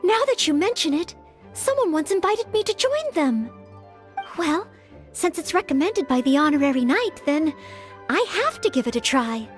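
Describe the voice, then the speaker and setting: Very high-pitched, earnest young maid. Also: female voice, sing-song, normal speed. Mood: hesitant, resolved. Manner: polite, earnest, softly hesitant. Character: earnest, polite, anxious young maid training for knighthood. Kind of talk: English video game voice acting. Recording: background music throughout.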